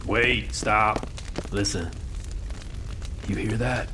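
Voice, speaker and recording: An actor playing a gruff, blunt male traveler who talks in terse, folksy phrases. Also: lowish voice, fairly even intonation, extremely slow, background noise. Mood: urgent. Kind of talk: audio drama acting.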